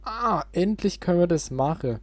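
A man's voice: sits high, expressive, moderate pace, dry recording. A man giving casual gameplay commentary as a let's play host.

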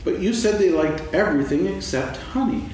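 A gentle, earnest young bear, as a man reads him, somewhat high, with a lively, sing-song pitch. It is heard from a distance in a very echoey hall with faint noise. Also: moderate pace. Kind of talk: staged reading of a children's play. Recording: echoey stage, distant mic, background noise.

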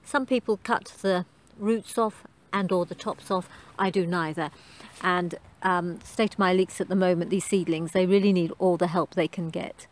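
A middle-aged woman talks through her gardening in a relaxed, homely way, her voice sitting a little low. She fills pauses with ums as she goes. It sounds like a phone recording made outdoors in a garden. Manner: chatty, homely, with frequent ums. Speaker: gardening vlogger. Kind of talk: casual gardening commentary. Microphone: handheld phone camera.

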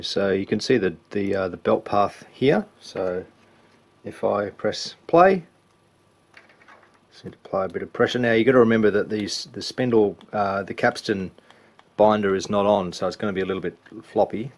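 Aussie or kiwi guy with a low voice, casually explaining a repair step by step. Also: moderate pace. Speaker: repair hobbyist demonstrating a belt change. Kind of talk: casual hands-on repair walkthrough.

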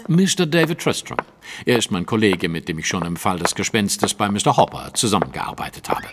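A man's voice: on the low side, big pitch swings, fairly quick, background noise. A man playing a keen, self-assured detective in an audio drama, speaking with formal precision. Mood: polite, formal.

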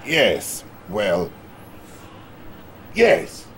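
An actor playing a pompous, middle-aged Soviet Premier in a game cutscene, theatrical and halting. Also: male voice, lowish voice, huge pitch swings, a bit bright, a little hiss or hum, heavily acted. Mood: wavering, grave. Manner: theatrical, halting, drawn-out delivery. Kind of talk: campy game cutscene acting.

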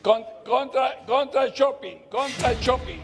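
A man's voice: extremely high pitch, a little slow, vocal fry, in a large hall. An eighty-year-old man with an Argentine Rioplatense accent arguing heatedly and repeating himself as a merchant in a live TV interview. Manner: agitated, argumentative, repeats himself. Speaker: textile merchant being interviewed. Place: inside a clothing shop. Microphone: handheld reporter microphone.

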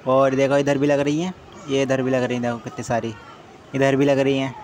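A man's voice: medium pitch, little pitch movement, a little fast, a little mellow. A man narrating a casual handheld travel vlog, chatty and informal as he points things out to viewers. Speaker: travel vlogger. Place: outdoors around a stadium and its stands. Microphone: handheld phone. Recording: noisy outdoor phone video with street ambience.

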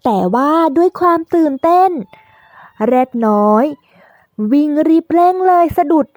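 A woman narrating a scripted children's storybook in a drawn-out, deliberate way for young learners, in the manner of a teaching tale. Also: extremely high pitch, animated, darkish tone, no room sound.

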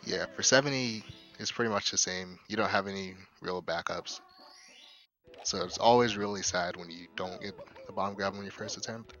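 A man with a deep, bassy voice calls a video game race in a relaxed, easygoing way. His tone is bright despite the low pitch, and faint music plays underneath. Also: normal speed, rough recording. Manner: laid-back, laughs often. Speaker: speedrun commentator. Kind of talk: casual race commentary.

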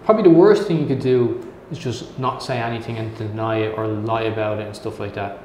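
A man's voice: on the low side, a little fast, darkish tone. A man in his thirties with an Irish accent, hosting an interview in a casual, enthusiastic way in an office meeting room. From Ireland. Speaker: interviewer, host. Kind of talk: conversational interview. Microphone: lapel mic. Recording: roomy room with faint noise.

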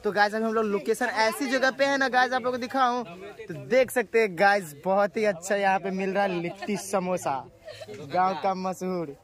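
A man's voice: high voice, normal speed, a bit bright. A young man, chatting and teasing as he films a village vlog outdoors on a phone held at arm's length. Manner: chatty and teasing, addresses viewers as guys. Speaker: vlogger. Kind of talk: casual village vlog chat. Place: outdoors on a rural village road.

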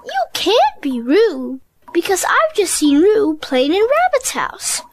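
Very high, lively young boy acted out. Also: slow pace, slight background noise, exaggerated voice. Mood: calm certainty. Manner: innocent, matter-of-fact. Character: young boy, calm, kindly, matter-of-fact. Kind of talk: children's storybook audio drama.

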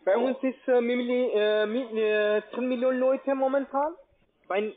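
A man's voice: very high-pitched, steady tone, moderate pace. A man with a foreign accent in non-native German, making a formal, repetitive scripted sales call as a call center agent. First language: not German. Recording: tinny, band-limited phone line.

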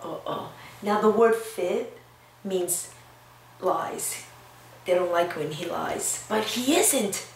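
A woman in her thirties, a preschool teacher reading aloud and talking to young children in a simple, expressive way with questions for them. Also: on the low side, slow pace, gravelly, pauses often. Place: indoor classroom-like room. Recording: roomy echo, distant mic, faint noise.

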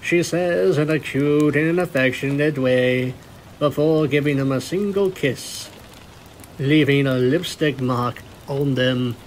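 A man's voice: medium pitch, takes it slowly, a little hiss or hum, rough recording. A man reading scripted story narration as the narrator of a fan fiction tale.

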